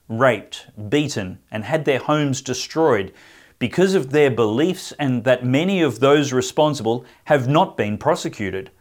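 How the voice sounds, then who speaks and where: A man hosting an interview show, reading a statement firmly and then asking questions with many hesitations. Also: mid-range voice. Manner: firm read-aloud delivery; halting filler-heavy questions. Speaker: host. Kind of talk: podcast interview.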